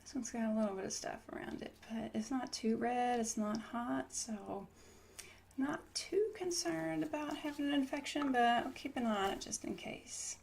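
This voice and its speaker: Higher-voiced woman, ASMR nurse, hissy recording. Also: moderate pace, gravelly, a little mellow. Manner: casual, reassuring bedside explaining. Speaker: ASMR creator playing a trauma nurse. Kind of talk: ASMR medical roleplay, personal attention.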